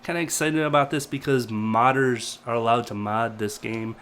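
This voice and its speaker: A man's voice: mid-range voice, fairly even intonation, moderate pace, a bit bright, slight background noise. A man co-hosting a casual wrestling review show, talking loosely while getting over a cold that leaves him stuffy. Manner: rambling, loose and chatty. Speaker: co-host. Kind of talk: casual chat.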